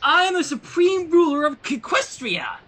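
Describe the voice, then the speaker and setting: A man's voice: very high voice, big pitch swings, normal speed, pushed and bright, voice pushed up, heavily acted. A man voicing a vain, imperious young female pony princess in a haughty, demanding way, in a storybook reading. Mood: indignant.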